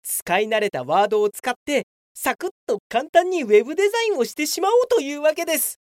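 A woman's voice: sits high, sing-song, fast, a little mellow, no echo, clean studio sound. A woman playing a cocky, clueless young male office worker in a comedic radio drama, theatrical and self-satisfied. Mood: smug.

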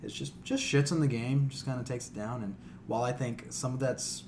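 A man hosting a podcast, chatting casually about gaming in an animated, profane, conversational way. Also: medium pitch, brisk, background noise. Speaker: podcast host.

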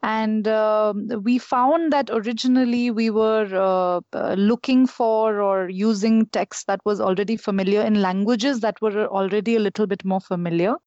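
A woman's voice: medium pitch, fairly even intonation, normal speed, hardly any pauses, a little mellow, no room sound. A woman, speaking candidly and measuredly with many hesitations as an interview guest. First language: Maithili. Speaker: newsletter co-founder, guest. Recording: dull, dry lo-fi sound.

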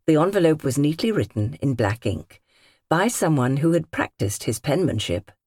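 British woman narrating, expressive, close dry sound. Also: sits low, breathy edge, no room sound. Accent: British English. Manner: measured, carefully phrased storytelling. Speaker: audiobook narrator. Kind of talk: scripted literary narration in character.

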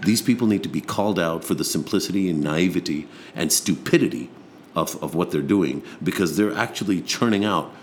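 A man's voice: low voice, brisk. A man in a casual, impassioned political discussion, sardonic at times and repeating words when he presses a point. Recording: faint background noise.

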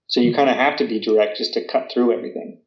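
A man's voice: mid-range voice, steady tone, fast, echoey room, dull audio. An American man in his thirties talking casually, with lots of fillers, restarts and "you know". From United States. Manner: chatty, many fillers and restarts. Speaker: guest. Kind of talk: casual conversation.

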